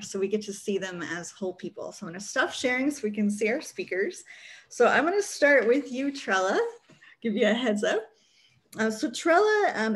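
A woman in her forties hosts a panel over a video call from a small room at home. She introduces each guest in a bright, effusive way, at a middling pitch and an easy, chatty pace. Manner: upbeat, effusive. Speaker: host. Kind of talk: casual panel hosting.

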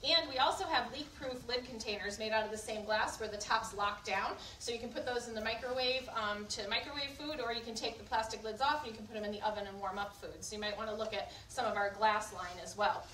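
A woman's voice: on the high side, fast talker, hardly any pauses, sharp tone. A woman giving a live kitchenware cooking demonstration to a group, chatty and instructive, often checking in with questions. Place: indoor room at a live event. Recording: roomy, distant mic, faint background noise.

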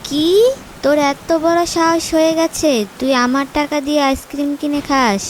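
A high-pitched, cruel fox stepmother from a cartoon, acted by a woman. She is bossy and scolding, and the recording has clear noise behind her. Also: steady tone, moderate pace, close to an everyday voice. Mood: angry, threatening.

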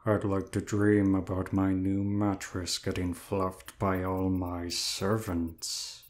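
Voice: low voice, flat delivery, slow pace, darkish tone. An actor in an audio drama playing Sloth, a lazy, weary demon with a drawling, indifferent manner. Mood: weary, bored.

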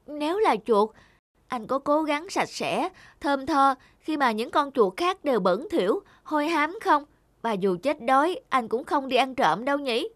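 High-pitched timid little mouse. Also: female voice, expressive, measured pace, a bit bright, no echo. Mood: earnest. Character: small, timid, earnest, well-meaning mouse. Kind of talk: animated moral fable dubbing. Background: music.

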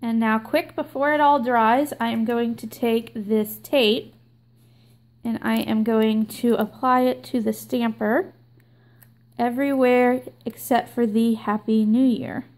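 A young woman hosting her own nail art channel, explaining a stamping tutorial slowly and with many ums. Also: fairly high pitch, steady tone, darkish tone. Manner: slow, filler-heavy explaining with many ums. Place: indoors at a table, hands filmed from above.

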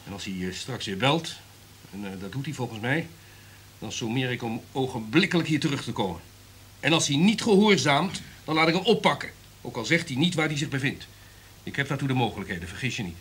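An actor playing an imperious, irritable top executive in a radio drama, lecturing in a domineering, emphatic way. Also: male voice, medium pitch, lively intonation, a little fast, a bit bright, a little hiss or hum, natural voice. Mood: angry, stern.

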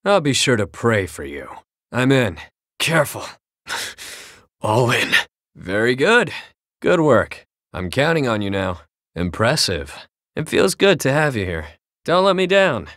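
A self-assured young male fighter from a video game. He is measured and commanding, delivering short lines slowly with a lively, swinging pitch. The sound is dry and close. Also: no echo. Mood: cool, confident. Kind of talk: short battle voice clips.

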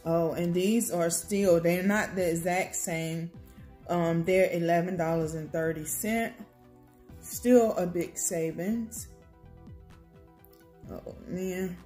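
Home vlogger, American woman, relaxed chatty delivery, slow and low, phone camera. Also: soft background music. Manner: chatty, unhurried, many ums and self-corrections. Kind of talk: casual product comparison vlog. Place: home table, indoors.

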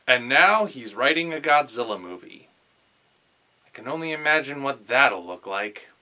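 A man's voice: medium pitch, lively intonation, normal speed, pushed and bright, low-quality recording. A man in his thirties hosting a video channel with scripted comedic commentary to camera. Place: small furnished room indoors.

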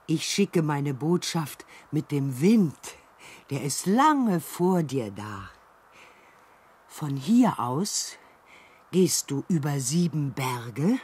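A fussy old fairy-tale being in a radio play. The voice is husky and wheezy, speaking slowly and swooping up and down with kindly, ponderous care. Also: male voice, on the high side, exaggerated voice. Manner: slow, ponderous, expressive.

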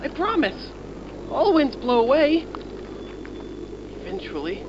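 An actress voicing a kind, reassuring cartoon toy dog in an earnest, comforting way. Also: female voice, high-pitched, very animated, slow, background noise, heavily acted. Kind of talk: retro cartoon acting. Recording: dull lo-fi old cartoon soundtrack.

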